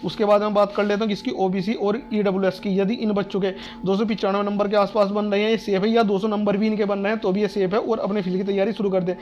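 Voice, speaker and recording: A North Indian man talks quickly in a high voice that stays on a narrow, even pitch. He explains things informally to students, repeating himself and reassuring them as an online exam-advice host would. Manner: repetitive, reassuring, asks and answers own questions.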